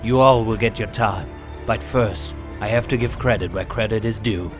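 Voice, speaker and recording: A man as brooding male hero, low voice, earnest, determined, audio drama, music behind. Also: moderate pace, close to an everyday voice. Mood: fond, reassuring. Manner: earnest, resolute. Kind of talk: fan audio drama acting.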